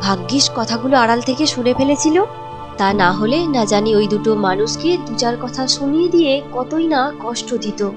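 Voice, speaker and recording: A woman's voice: on the high side, lively intonation, moderate pace, music in the background. A woman narrator reading a scripted cartoon story.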